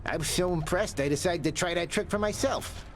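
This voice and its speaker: A man's voice: high voice, a little fast, natural voice. A man voicing a breezy, slangy male skeleton who teases the hero in a video game. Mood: cheeky. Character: chummy male skeleton, boastful, cheeky.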